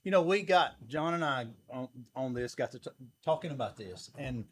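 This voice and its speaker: A man's voice: mid-range voice, lively intonation, normal speed, a little hiss or hum. A man with a Southern US accent hosting a local interview, easygoing and affirming, asking plain questions. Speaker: interview host. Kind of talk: casual interview talk. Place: local TV studio.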